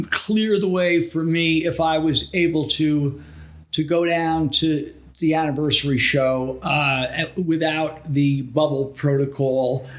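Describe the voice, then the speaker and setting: A middle-aged or older man co-hosting a podcast in casual, conversational talk. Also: a little high. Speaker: podcast co-host.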